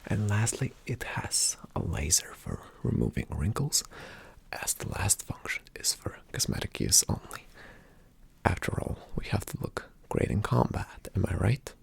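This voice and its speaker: A man performing a whispered ASMR roleplay as a calm spy agent, giving hushed, deliberate instructions in a soft, breathy, slightly creaky voice. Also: sits low. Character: calm, attentive male spy agent briefing a partner.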